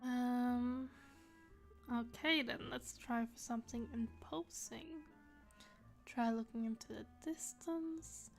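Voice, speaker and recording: A woman's voice: on the high side, steady tone, slow pace, creaky. A woman streaming a game with casual, wry, joking commentary. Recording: game music behind.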